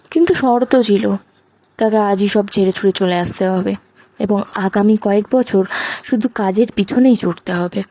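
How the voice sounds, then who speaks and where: A woman's voice: mid-range voice, darkish tone, no echo. A woman reading scripted narration for a romantic audio story.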